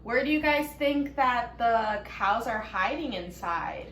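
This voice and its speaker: A woman reading a children's story aloud, expressive and deliberate, stopping to prompt young listeners with questions. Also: fairly high pitch. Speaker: storybook reader leading children. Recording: roomy echo, faint background noise.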